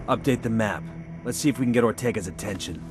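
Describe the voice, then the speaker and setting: An actor playing a terse, resolute special forces team leader in a video game, speaking in a clipped, businesslike way. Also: male voice, lowish voice, quick pace, music in the background, close to an everyday voice. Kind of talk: video game cutscene dialogue.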